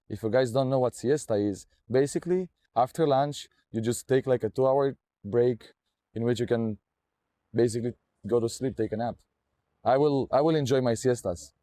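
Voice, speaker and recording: A man in his late twenties from Romania talks in a laid-back, joking way. His voice is warm and mellow, and it is recorded close and dry. He sounds at ease. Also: mid-range voice, no room sound. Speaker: exchange participant. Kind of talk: casual interview answer.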